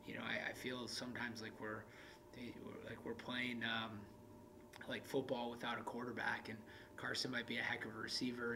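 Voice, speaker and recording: A man in his thirties, a basketball coach, talking candidly in an interview with halting restarts. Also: medium pitch, gravelly, noisy background. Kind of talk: post-game interview. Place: indoor media room in front of a backdrop.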